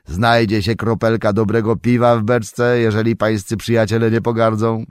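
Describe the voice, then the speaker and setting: A man voicing a stout, older male hobbit farmer who talks loudly and heartily. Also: level tone, normal speed, smooth, dry recording, clean studio sound, natural voice. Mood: hearty. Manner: loud, booming and hospitable.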